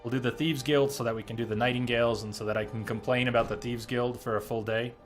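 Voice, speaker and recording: A man's voice: medium pitch, fairly even intonation, fast talker, faint music behind. A man giving casual, chatty live commentary as a game streamer, explaining things and reading menu text aloud.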